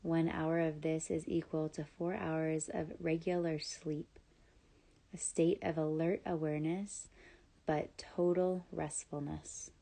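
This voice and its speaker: Slow, mellow woman guiding meditation. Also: low-pitched, gravelly. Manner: calm, soothing, measured instruction with long pauses. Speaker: yoga nidra guide. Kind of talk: scripted guided meditation.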